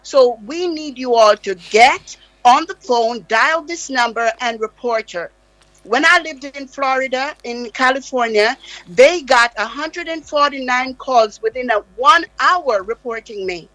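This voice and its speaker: A woman's voice: sits high, unhurried, fairly bright tone, dry recording, rough recording. A Jamaican woman guest on a live radio interview, speaking with passionate, insistent emphasis. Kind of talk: heated interview talk. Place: radio studio.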